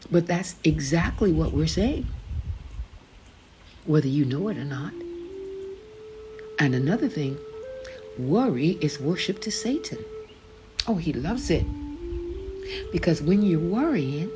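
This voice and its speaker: A woman with a low voice reads her own devotional book in an earnest, conversational way. She talks directly to the listener and calls them beloved. Music plays clearly behind her. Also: expressive, a little slow, pauses often. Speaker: author and podcast host. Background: background music.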